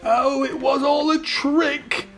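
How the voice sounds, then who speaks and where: A man's voice: extremely high pitch, little pitch movement, slow pace, fairly bright tone, soft background music, pitched above the performer's own voice, heavily acted. A man voicing a devoted, emotional prince in a children's storybook, with dramatic exclamations. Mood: anguished. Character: devoted young prince husband, earnest and emotional.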